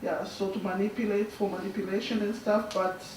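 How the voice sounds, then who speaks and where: A young woman from Burundi, whose first language is Swahili, speaks softly and haltingly as a guest. Her voice comes from across an echoey school hall, picked up by a distant microphone with faint background noise. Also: medium pitch, level tone. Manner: soft-spoken, halting, laughs often. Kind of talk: answering audience questions.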